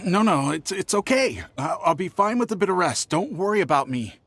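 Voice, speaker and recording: An actor playing a nervous, cowardly young traveling merchant in a video game, stammering theatrically with music behind him. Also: male voice, high-pitched, sing-song, brisk, a bit bright, a little hiss or hum, exaggerated voice. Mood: nervous, pained. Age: young adult. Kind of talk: video game character acting.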